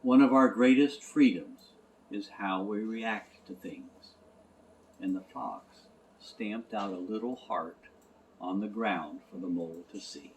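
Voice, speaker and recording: A man reading a storybook as narrator, in a gentle, measured way. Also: fairly low pitch, low-quality recording. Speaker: audiobook narrator.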